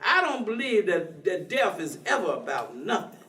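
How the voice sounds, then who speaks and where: Animated, high-pitched folksy man. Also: normal speed, full character voice. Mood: searching. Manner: folksy, colloquial, persistent. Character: earnest, folksy working man pleading with a despairing professor. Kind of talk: staged dramatic reading. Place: theatre stage. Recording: echoey theatre, distant microphone.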